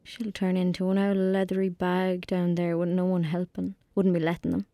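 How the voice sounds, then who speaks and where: A woman's voice: fairly low pitch, completely flat delivery, normal speed, mellow, no room sound, close to an everyday voice. A woman with an Irish accent playing a lonely, imaginative young country girl in a naturalistic audio drama, youthful and colloquial. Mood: glum, wistful. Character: lonely, anxious young girl in rural Ireland, sullen and imaginative.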